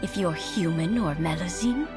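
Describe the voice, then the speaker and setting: A woman's voice: on the low side, lightly acted. A woman playing a kindly, knowing old lady in a game, speaking tenderly and without hurry. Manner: tender, unhurried. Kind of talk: dramatic game dialogue. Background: music.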